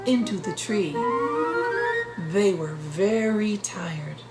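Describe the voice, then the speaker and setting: A woman reading a children's storybook aloud in an expressive storyteller's way, with deliberate pauses. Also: mid-range voice. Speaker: author reading own storybook. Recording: roomy echo, loud guitar music. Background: guitar music.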